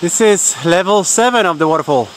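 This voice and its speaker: A man in his thirties from Denmark giving halting, informal vlog commentary outdoors, speaking into a camera at arm's length. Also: high voice, expressive, poor audio. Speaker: travel vlogger. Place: outdoors in a forest park. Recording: outdoor noise of insects and water.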